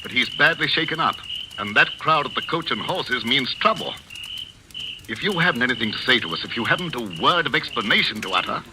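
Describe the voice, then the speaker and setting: A male actor plays a stern village father in a vintage radio mystery. He has a medium-pitched, very expressive voice with earnest, formal emphasis, over clear recording noise. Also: fairly quick, close to an everyday voice. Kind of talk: old-time radio mystery acting. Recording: noisy old radio drama recording.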